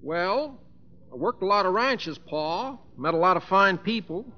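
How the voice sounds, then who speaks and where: An actor playing a plainspoken young cowboy with a folksy Western American drawl, in 1950s radio drama style. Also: male voice, sits high, lively intonation, measured pace. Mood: mild, defensive. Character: plainspoken easygoing young cowboy, stubbornly his own man. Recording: dull old radio broadcast.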